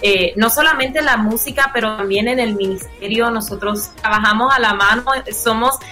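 A woman's voice: a little high, moderate pace, sharp tone, faint music behind. A young woman with a Puerto Rican accent and English as her first language, speaking earnestly and expressively as a singer-songwriter guest in a casual radio interview. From Puerto Rico.

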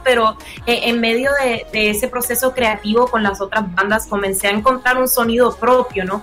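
A woman's voice: a little high, pushed and bright. A young woman with a Puerto Rican accent and English as her first language, speaking earnestly and expressively as a singer-songwriter guest in a casual radio interview. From Puerto Rico.

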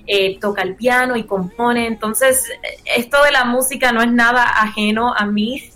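Bright-voiced young Puerto Rican woman. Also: fairly high pitch, steady tone, normal speed. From Puerto Rico. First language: English. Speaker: singer-songwriter guest. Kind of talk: casual radio interview, personal storytelling.

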